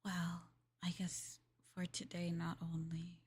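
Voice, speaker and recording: Breathy, slow-talking woman in ASMR roleplay. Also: lowish voice, monotone. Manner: soft, partly whispered. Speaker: VTuber streamer.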